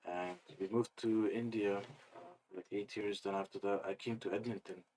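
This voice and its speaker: Low-voiced man chatting, loose fillers. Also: normal speed, slight background noise, rough recording. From Afghanistan. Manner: relaxed, rambling with many fillers. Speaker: guest. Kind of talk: casual interview chat.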